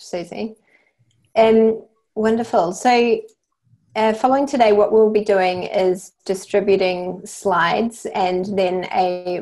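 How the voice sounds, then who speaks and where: A woman with a New Zealand accent moderates an online panel, her voice carrying a noticeable gravelly fry. She keeps her delivery even and level, calmly thanking speakers and reading out questions. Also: medium pitch. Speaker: webinar host. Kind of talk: moderating a webinar.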